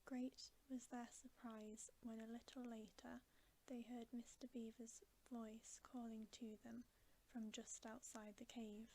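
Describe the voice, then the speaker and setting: A woman reads a children's novel as ASMR for bedtime. Her voice is breathy, mostly whispered and mellow. She takes it very gently, at a slow, soothing pace. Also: a little high, flat delivery, slight background noise, poor audio. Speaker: ASMR storyteller.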